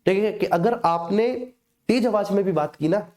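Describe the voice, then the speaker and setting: Mellow North Indian man teaching a class. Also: sits high, normal speed. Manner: colloquial, didactic, checks in with repeated tag phrases. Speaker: coaching teacher. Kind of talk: live online exam lecture with personal anecdotes.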